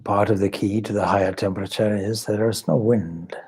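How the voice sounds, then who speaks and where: A man leading a guided meditation as a spiritual teacher, speaking in a measured, contemplative manner with long pauses and a husky voice. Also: lowish voice, fairly even intonation, darkish tone.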